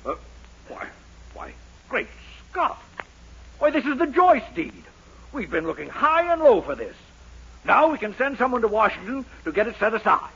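Pompous, folksy radio mayor, hurried and easily rattled, very animated, muffled vintage broadcast. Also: male voice, extremely high pitch, breathy edge, full character voice. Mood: amazed, grateful. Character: hurried, blustering, easily flustered small-town mayor, male. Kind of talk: 1940s radio sitcom acting. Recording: dull, slightly noisy 1940s radio recording.

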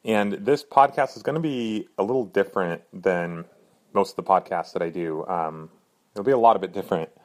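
A man hosting his own podcast in earnest, rambling casual talk, a little hoarse from a cold. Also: low-pitched, moderate pace, a little mellow. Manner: earnest, rambling, frequent fillers and restarts. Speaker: podcast host.

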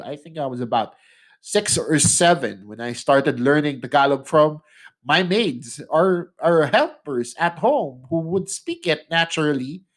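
A young Filipino man, a native English speaker, hosting a solo podcast and speaking in a conversational way, often tagging questions onto the ends of his sentences. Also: a little high. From Philippines. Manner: conversational, frequent tag questions, laughs mid-sentence. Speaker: podcast host. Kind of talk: solo opinion monologue.

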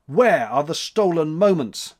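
A man's voice: on the high side, animated, brisk, darkish tone, voice pushed up. Curt, unyielding January spirit, voiced by a man. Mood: accusing. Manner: curt, refusing. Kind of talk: storybook character reading.

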